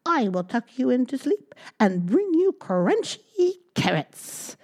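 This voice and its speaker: A woman's voice: high voice, very animated, slow pace, a little mellow, slightly rough, pitched above the performer's own voice, exaggerated voice. A woman voicing a motherly lady pig in a storybook reading, with an oinking manner. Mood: kindly.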